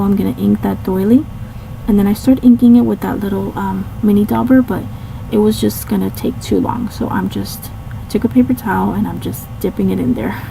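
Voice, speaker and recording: Raspy-voiced woman with a mellow fry. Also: medium pitch, slight background noise, poor audio. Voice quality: raspy, catching a cold. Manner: rambling, many ums and restarts, apologetic. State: slightly hoarse. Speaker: scrapbooking channel host. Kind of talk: casual voice-over narrating a craft video.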